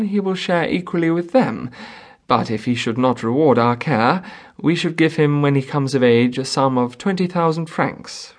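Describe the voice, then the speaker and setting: Man acting a courteous, earnest, slightly high-voiced gentleman. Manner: formal, measured, reasoning. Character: courteous, earnest wealthy gentleman, persuasive negotiator.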